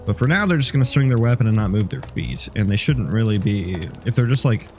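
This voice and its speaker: A man's voice: lowish voice, expressive, fast, darkish tone, soft background music. A sniffly man, a game developer, talking casually through his code and rambling as he thinks aloud. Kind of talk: casual dev log.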